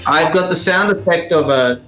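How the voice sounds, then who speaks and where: A man's voice: fairly high pitch, moderate pace, bright, forceful tone, soft background music. A man explaining his craft as an interview guest in casual chat, speaking over a live video call from an echoing room. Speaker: guest, radio drama storyteller and sound effects maker. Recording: roomy echo on video call.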